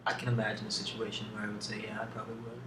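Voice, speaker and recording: A man speaking as an ASMR video host, answering questions off the cuff in a hesitant, thoughtful way with long pauses. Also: on the low side, monotone. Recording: roomy, distant, clearly noisy home recording.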